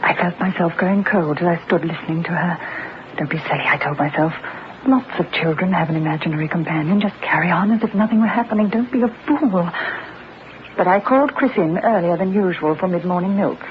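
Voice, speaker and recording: A woman's voice: fairly low pitch, brisk, lightly acted. An actress playing an anxious, proper young British mother in a radio drama, earnest and fretful. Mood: uneasy narration. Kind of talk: radio drama dialogue and first-person narration. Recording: lo-fi radio recording, clear background noise.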